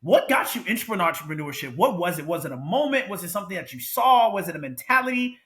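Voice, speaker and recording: A man's voice: high voice, very animated, fast, a bit bright. A man in his twenties hosting a casual interview, speaking informally and emphatically with repeated phrases. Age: 20s. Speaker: podcast host.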